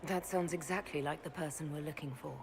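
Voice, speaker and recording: A woman's voice: low voice, fairly even intonation, a little fast. An actress playing a composed, diplomatic lady inquisitor in a fantasy game, polite and self-possessed.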